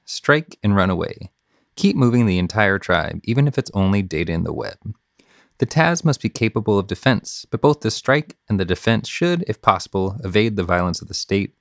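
Male podcast reader, lower register, scripted delivery with commentary, dry room-free sound. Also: vocal fry, no echo. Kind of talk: scripted reading of essays and news with commentary.

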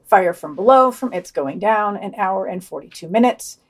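A woman's voice: medium pitch, expressive, normal speed. A woman co-hosting a podcast, reading news items aloud and adding wry, dry asides. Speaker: podcast co-host.

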